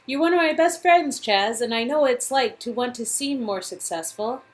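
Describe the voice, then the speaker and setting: A woman's voice: fairly high pitch, moderate pace, natural voice. A woman voicing a supportive, sardonic young aspiring actress in a conversational game-dialogue reading. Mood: reassuring.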